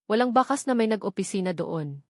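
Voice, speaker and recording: A woman narrates a dramatic story in a steady, measured read. Her voice sits at an ordinary pitch, and the recording is dry with no room sound. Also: no room sound.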